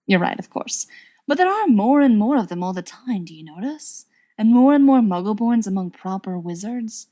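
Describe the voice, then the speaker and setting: A woman's voice: medium pitch, lively intonation, moderate pace, dark tone, lightly acted. A woman voicing a young male wizard extremist in an audiobook, earnest and persuasive with a bitter edge. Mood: indignant.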